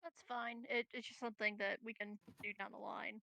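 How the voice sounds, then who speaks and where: A woman's voice: fairly high pitch, little pitch movement, a little fast, vocal fry, sharp tone, no room sound. A woman chatting casually as a player in a tabletop game session.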